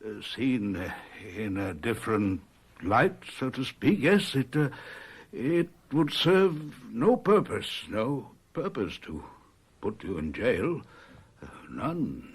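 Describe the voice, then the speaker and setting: A tyrannical village lord from a 1970s radio drama. He speaks slowly and formally, with theatrical swings of pitch. His manner is imperious, pompous and menacing. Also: big pitch swings, husky, heavily acted. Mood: dazed, hesitant.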